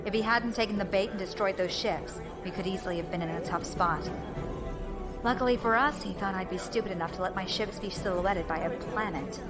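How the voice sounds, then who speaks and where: A woman's voice: mid-range voice, brisk, loud music behind the voice, lightly acted. A woman playing a shrewd, determined rebel fleet leader with a wry, self-assured manner in a space opera audio drama. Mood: wry, modest.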